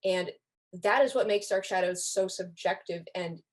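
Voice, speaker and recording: A young adult woman speaking as a podcast guest in a lively casual discussion, with a wry, emphatic way of talking. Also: medium pitch, normal speed. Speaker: podcast guest, fan community member.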